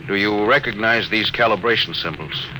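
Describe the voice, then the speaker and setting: An actor playing a stern, suspicious spaceship captain, commanding in classic radio science fiction drama style. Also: male voice, lowish voice, normal speed, bright, forceful tone. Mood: stern, probing. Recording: noisy old radio drama recording.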